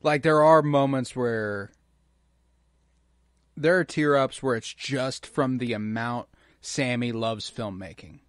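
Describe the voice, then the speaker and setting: A man's voice: mid-range voice, takes it slowly, no echo, clean studio sound. A man hosting a podcast, chatting casually and enthusiastically about a film, swearing freely and filling gaps with "you know". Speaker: podcast host. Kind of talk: casual film-discussion chat.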